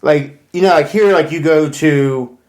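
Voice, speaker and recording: An American man co-hosting a casual chat podcast, explaining things in a halting, conversational way. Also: on the high side, a little mellow. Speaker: podcast co-host.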